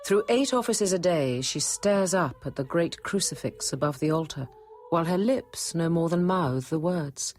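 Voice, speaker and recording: Music plays clearly behind a compassionate, watchful nun of middle age, voiced by a woman. She narrates a radio drama in the first person. The voice is low, measured and intimate. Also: expressive, a little mellow, natural voice. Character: watchful, compassionate middle-aged nun telling her story.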